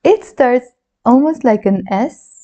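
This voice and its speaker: A woman's voice: fairly high pitch, expressive, takes it slowly, warm tone, muffled recording. A woman who is a native Arabic speaker with a Lebanese accent, teaching handwriting in a chatty, encouraging way and counting along as she writes. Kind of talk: handwriting lesson, demonstrating while talking.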